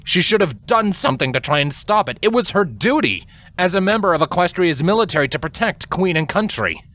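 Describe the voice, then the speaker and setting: A man reading a scripted dramatic story as narrator, solemn, deliberate and expressive. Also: sits high. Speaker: audiobook-style fan fiction narrator.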